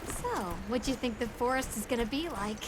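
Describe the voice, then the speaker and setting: A woman's voice: fairly high pitch, a little fast, background noise, lightly acted. A woman playing an upbeat, casual young adventuring companion in a video game cutscene. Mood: playful, curious.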